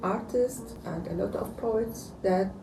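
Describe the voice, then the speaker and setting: Woman, Iraqi accent, halting interview delivery, distant roomy mic, music behind. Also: mid-range voice, creaky. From Iraq. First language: Arabic. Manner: halting, non-native phrasing. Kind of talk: interview answers about home country.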